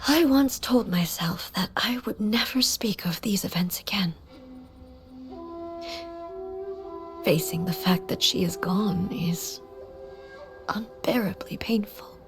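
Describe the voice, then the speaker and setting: A woman playing a solemn, grieving immortal ruler in a video game, speaking formally and softly with measured composure. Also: mid-range voice, over music, close to an everyday voice. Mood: wistful, grieving. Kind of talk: dramatic video game voice acting.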